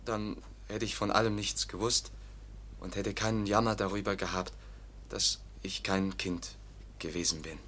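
An actor playing a naive, fearful young foundling in a German radio drama, speaking in a halting, plaintive, simple way. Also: male voice, fairly low pitch, level tone, sharp tone, a little hiss or hum. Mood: sorrowful.

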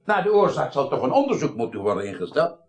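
An actor playing a paternal, persuasive parliament chairman in a 1980s radio drama, husky, courtly and formal. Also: mid-range voice, big pitch swings, quick pace.

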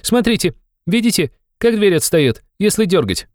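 A man voicing an excited, quick-witted very young man with a ringing, eager way of explaining in an audiobook of a classic novel. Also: high-pitched, clean tone, no echo, studio quality, pitched above the performer's own voice. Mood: excited, insistent.

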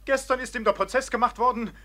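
An actor playing a hearty, excitable robber in a classic radio drama, exclamatory and urgent. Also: male voice, very high voice, fast talker. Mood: alarmed.

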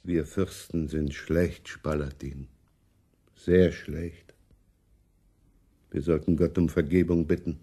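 Tired devout old prince, low mellow voice, slow n halting, radio play. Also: male voice, steady tone, breathy edge, full character voice. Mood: weary, pious. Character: weary pious old prince, doubting and cautious. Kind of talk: German radio play acting.

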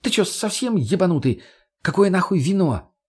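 A man voicing a brash, foul-mouthed, mocking young woman in an audiobook, with crude, lively delivery. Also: fairly high pitch, moderate pace, husky, pitched above the performer's own voice. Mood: indignant. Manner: crude, slangy, loudly expressive.

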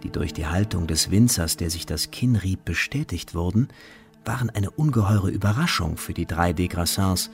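Low-voiced male narrator. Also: animated, normal speed, soft background music. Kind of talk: literary narration in a radio play.

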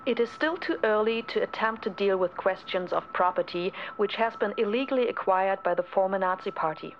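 Female documentary reader: formal, measured delivery of letters and headings, slight background ambience. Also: medium pitch, dull audio, slight background noise. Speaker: documentary radio play reader. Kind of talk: scripted reading of headings and letters.

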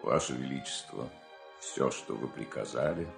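A man acting a loyal, obedient royal servant, hushed, grave and deferential, in an audio fairy tale. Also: extremely low pitch, moderate pace, gravelly, soft background music, heavily acted.

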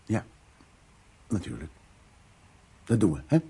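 A man's voice: low-pitched, creaky, husky, full character voice. An actor playing a composed, tolerant mature man in a radio play, terse and dry in manner. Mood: calm, conciliatory. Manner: calm, terse, measured. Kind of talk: naturalistic radio play acting.

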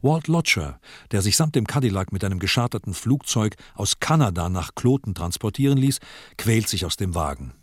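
A man narrating a radio play from a script in a dramatic style, with clipped, deliberate phrasing. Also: on the low side, big pitch swings, husky, no room sound, studio quality.

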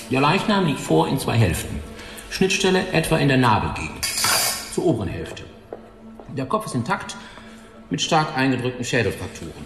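An actor playing an old pathologist in a radio drama, dictating in a clinical, detached and deliberate manner. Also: male voice, fairly high pitch. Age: older. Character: tall old male pathologist, clinical, cigar-smoking, matter-of-fact. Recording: echoey hall with long reverb.

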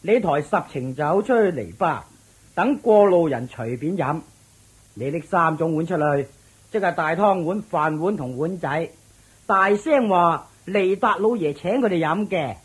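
A pompous wealthy master of the house in an old-fashioned radio drama. His voice sits fairly low, but it rises and falls a great deal as he boasts and barks orders. The tone is unusually smooth and resonant. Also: expressive, unhurried, exaggerated voice, rough recording. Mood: commanding. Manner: smug, self-satisfied, chuckling, commanding servants.

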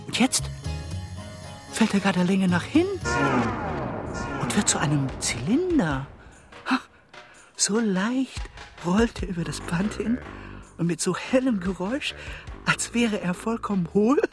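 A slow, theatrical visionary narrator. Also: male voice, fairly high pitch. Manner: halting fragments, theatrical, sometimes hushed. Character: brooding, awestruck narrator of a surreal vision. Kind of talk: dramatic literary narration in a radio play.

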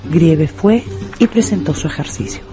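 A man's voice: fairly high pitch, expressive, normal speed. A man narrating a scripted story dramatically for radio. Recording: music behind the voice.

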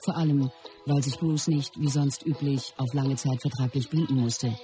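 A woman's voice: very deep voice, little pitch movement, moderate pace, dark tone, faint music behind. A woman playing a close companion who narrates a star's life story in a scripted radio play. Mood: reflective.

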